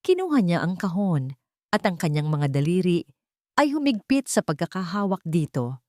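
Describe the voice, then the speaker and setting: A woman's voice: fairly low pitch, very animated, moderate pace, a little mellow, no room sound, studio quality. A woman with a Filipino accent narrating a scripted dramatic story.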